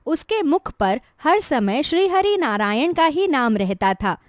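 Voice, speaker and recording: A woman's voice: sits high, big pitch swings, measured pace, no echo, studio quality. A woman narrating a moral tale as a scripted bedtime story.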